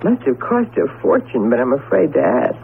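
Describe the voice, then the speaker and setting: Animated, exasperated radio-drama wife. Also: female voice, very deep voice, moderate pace, phone-quality sound, full character voice. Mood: wry, bemused. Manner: theatrical, wry, emphatic. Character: exasperated, sardonic wife of an Egyptology professor. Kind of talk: 1970s radio drama acting. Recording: thin, noisy 1970s radio recording.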